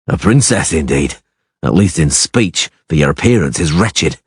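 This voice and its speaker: A man voicing a gruff, sardonic monster-hunter who talks in a dry, mocking, stern way. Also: a bass voice, lively intonation, moderate pace, dry recording, pitched below the performer's own voice, heavily acted.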